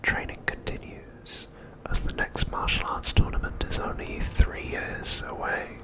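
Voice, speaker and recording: A man narrating a script as ASMR in a breathy, unvoiced whisper, softly and deliberately, pausing often between phrases. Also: low-quality recording. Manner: soft, deliberate, with frequent pauses between phrases.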